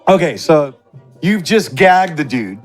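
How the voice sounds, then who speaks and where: Animated male game master over music. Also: a little high, measured pace. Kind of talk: casual improvised tabletop game narration.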